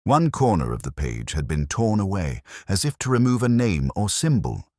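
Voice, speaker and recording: A man reading a scripted first-person literary detective story in a measured, melancholy, reflective manner. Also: low voice, sing-song, a little mellow, no echo, studio quality.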